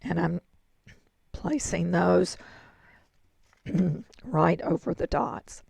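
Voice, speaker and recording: A woman with a hoarse, gravelly voice walks through a craft project step by step. She speaks slowly and chattily, like a tutorial host. The sound is dry and close. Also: on the low side, animated, a little mellow, no room sound. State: hoarse, throat-clearing. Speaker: card-making instructor.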